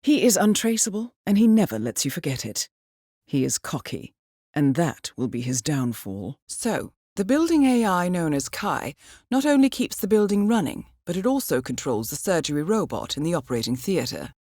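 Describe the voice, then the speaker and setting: A woman voicing a composed middle-aged intelligence handler in a video game, measured and formal with dry understatement. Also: on the low side, big pitch swings, mellow, no room sound. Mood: measured, businesslike. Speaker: mission handler. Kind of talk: scripted game voice acting, mission briefing.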